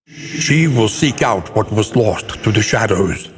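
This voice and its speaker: An actor playing a grave, brooding, scholarly older male narrator in a gothic video game, speaking with solemn, theatrical, measured delivery and literary diction. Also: on the low side, expressive, big-room sound, background noise. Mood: solemn, proclaiming.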